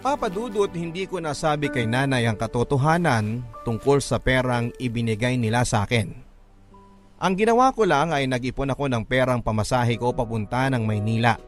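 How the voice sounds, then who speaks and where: Radio drama narrator: man as poor, remorseful young son, Filipino accent, confessional and heartfelt, mid-pitched over music. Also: animated, moderate pace, lightly acted. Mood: somber. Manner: emotional, confessional. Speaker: narrator telling his life story in a radio drama. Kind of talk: dramatic first-person letter narration.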